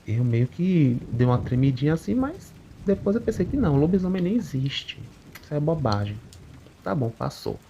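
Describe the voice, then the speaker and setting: A man tells a supernatural story in the first person, unhurried and chatty, often tagging on little questions. His mid-range voice has a gravelly fry to it. The recording is slightly muffled, with faint rain falling behind him. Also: on the high side, big pitch swings, noisy background. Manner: conversational, unhurried, with frequent tag questions. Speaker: channel narrator reading a listener's account.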